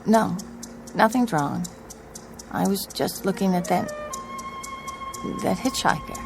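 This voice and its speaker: A woman's voice: lowish voice, expressive, slow pace, creaky, over music, full character voice. An actress playing an anxious, haunted young woman traveling alone in a radio drama, speaking in a hesitant, polite, uneasy way. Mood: uneasy, puzzled.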